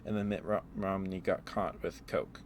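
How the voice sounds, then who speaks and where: Casting: male ASMR streamer, low register, halting off-the-cuff rambler, noisy ambient backdrop. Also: steady tone. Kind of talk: rambling casual ASMR chat. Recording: clearly audible background noise.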